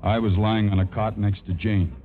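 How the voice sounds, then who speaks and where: An actor playing a sincere, plainspoken airline pilot in a 1950s radio drama. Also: male voice, lowish voice, little pitch movement, moderate pace, lightly acted. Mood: somber narration. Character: earnest, lovestruck male airline co-pilot narrating. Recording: phone-like vintage radio broadcast.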